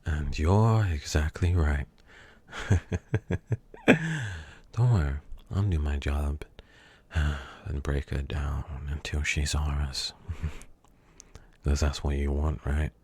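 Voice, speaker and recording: A man as a very deep, smug kidnapper. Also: darkish tone, slightly rough, no room sound, heavily acted. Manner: slow, teasing, drifting into whispers. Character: menacing, smug male kidnapper. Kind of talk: ASMR roleplay.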